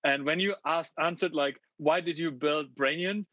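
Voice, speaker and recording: A man in his thirties hosts a fireside chat over a video call, friendly but halting, stumbling through restarts and "um"s. His voice sits at an ordinary pitch, and the sound is dry and a bit muffled. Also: sharp tone, no room sound. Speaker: moderator. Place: home room.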